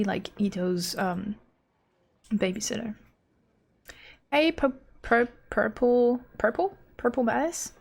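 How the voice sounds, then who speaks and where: A woman game streamer chatting casually on a live stream and reacting aloud to game dialogue. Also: medium pitch.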